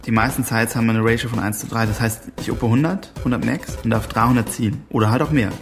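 An actor playing a self-important hacker courier, speaking in a casual, insistent monologue full of jargon and tag questions. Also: male voice, medium pitch, steady tone, fast, natural voice. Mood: proud, casual. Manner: colloquial, insistent, tags phrases with "ja?" and "ne?". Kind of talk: casual interview-like monologue in radio play. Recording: music behind the voice.

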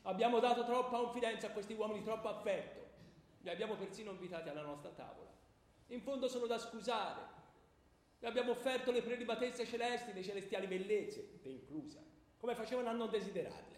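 Echoey hall, indulgent divine husband, high swooping voice, wheedling, colloquial, comic radio play. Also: male voice, animated, quick pace. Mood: indulgent, excusing. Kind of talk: comic radio theatre dialogue. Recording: echoey hall with faint background noise.

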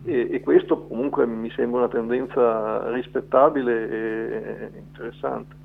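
A man's voice: medium pitch, fairly even intonation, a little slow, vocal fry, slightly rough. A middle-aged man giving an unscripted interview, hesitant and often circling back to correct himself as he explains. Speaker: film festival selection curator, interview guest. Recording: thin, narrow phone line.

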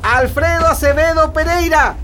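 Man acting a harsh, high-pitched Chilean army officer. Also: steady tone. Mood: stern, commanding. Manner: barking, commanding, deliberate roll-call delivery. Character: harsh, domineering military officer rounding up workers. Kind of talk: radio drama acting. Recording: very noisy radio drama track.

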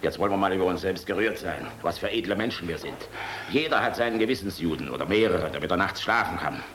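An actor playing a defiant, world-weary middle-aged general in a German radio drama, sardonic, brooding and biting. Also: male voice, sits low, lively intonation, fast talker, fairly bright tone. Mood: haunted, brooding. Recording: clearly noisy radio drama recording.

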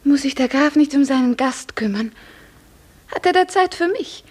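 A high, earnest young heroine's voice from a 1950s radio drama. She is a serf actress, defiant and full of fervent hope, speaking with theatrical conviction. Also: lively intonation, moderate pace. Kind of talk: 1950s German radio play acting.

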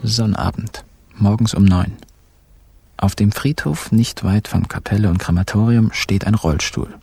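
An actor playing a wry, laconic narrator with a gravelly, very husky voice in a radio crime drama. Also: male voice, fairly low pitch, fairly even intonation, unhurried, dark tone, soft background music. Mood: wry, calm. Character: wry male gang member narrating a crime tale.

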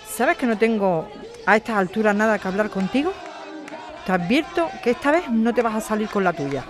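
An actress playing an indignant activist lawyer and daughter in an audio drama, challenging her opponent with forceful questions. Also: mid-range voice, animated, normal speed, music in the background, a crowd in the background, lightly acted. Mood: indignant, defiant. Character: combative activist lawyer daughter defending evicted neighbours.

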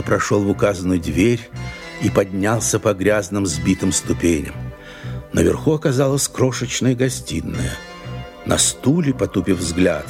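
A man narrating an audio drama as a wry storyteller, with a gravelly voice. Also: on the low side. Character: wry storyteller of a detective comedy.

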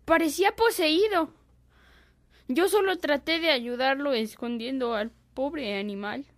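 A high-voiced sly boy. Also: measured pace. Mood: feigned innocence. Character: sly young boy, smug scheming brother. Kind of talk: Spanish-language radio drama acting.

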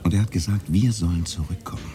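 An actor playing a gruff, wry local man who acts as a reporter's minder, talking in dry, casual mutters and emphatic protests in a naturalistic radio drama. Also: sits low, quick pace, mellow, slight background noise, close to an everyday voice. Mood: confiding.

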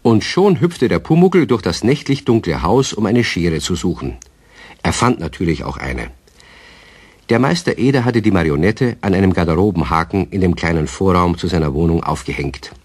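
A man narrating a radio play for children in an expressive storytelling manner. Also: low-pitched, moderate pace, slightly rough, no echo.

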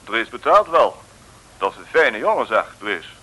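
An actor in a 1950s radio serial playing a breezy, tactless colonel who talks in a jovial, patronising way and repeats himself. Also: male voice, medium pitch, very animated, moderate pace, bright, forceful tone, breathy edge, heavily acted, low-quality recording.

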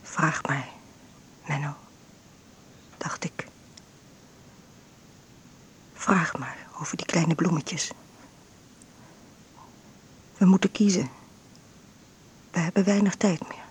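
Frail sick mother telling her story in a radio play, breathy half whisper, halting, noisy old recording with rumble. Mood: intense, pleading. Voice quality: breathy, often dropping into whispers. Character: frail, ailing bedridden mother, weary and intense. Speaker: actress narrating in a radio play. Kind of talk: intimate first-person radio drama monologue.

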